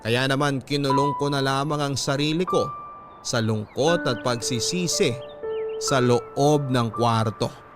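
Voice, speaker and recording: A man's voice: mid-range voice, measured pace. A man narrating a first-person letter as a storyteller on a radio drama. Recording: music under the voice.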